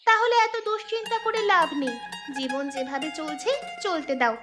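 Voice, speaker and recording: A woman's voice: sits high, lively intonation, moderate pace. A woman voicing a sweet, patient young village girl in a cartoon story. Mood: calm, reassuring. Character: dutiful young village girl caring for widowed father. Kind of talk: Bengali cartoon story dialogue. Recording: clear music bed behind voice.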